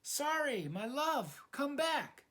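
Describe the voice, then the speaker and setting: An excitable young sea creature from a storybook, sweet and hopelessly romantic, played by a man reading aloud. The voice sits high and swings widely as it gushes and exclaims. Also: slow pace, exaggerated voice. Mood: pleading. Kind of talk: children's storybook character voice.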